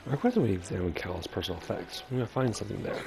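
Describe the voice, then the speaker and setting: A man's voice: fairly low pitch, lively intonation, very fast, creaky. A man giving casual gameplay commentary, interjecting with brief reactions. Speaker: gamer playing through a story quest.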